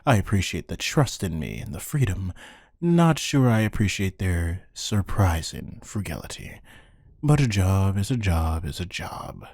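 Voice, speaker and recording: A man playing a bored, menacing hired interrogator in an ASMR roleplay, speaking in a deadpan, condescending, taunting way with a husky voice. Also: low-pitched, a little slow, no echo, clean studio sound, full character voice. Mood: dry, sardonic.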